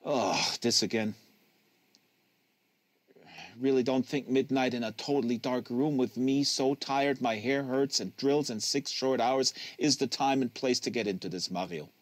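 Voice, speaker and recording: A man's voice: mid-range voice, a little slow, no room sound, lightly acted. A man reading a novel, voicing a tired, patient, wry teenage older brother.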